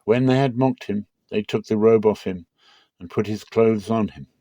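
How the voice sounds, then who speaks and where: Low-voiced man reading scripture. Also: mellow, dry recording, highs cut off. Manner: measured, slows for emphasis. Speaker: Bible reader.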